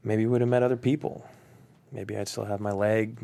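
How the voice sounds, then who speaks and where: A man acts out a wounded, self-doubting man in a screenplay drama. His voice sits a little low with a gravelly fry, and he speaks in a halting, understated way. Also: flat delivery, dark tone. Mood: regretful. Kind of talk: dramatic table read of a screenplay.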